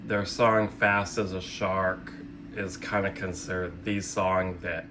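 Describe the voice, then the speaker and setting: This is a young man with a low-pitched voice who takes his time as he talks. He hosts casually, rambling through a show-and-tell in a sardonic, self-deprecating way and swearing offhandedly. Some light background noise sits behind his voice. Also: level tone, slow. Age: young adult. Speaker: host of a physical media collecting channel.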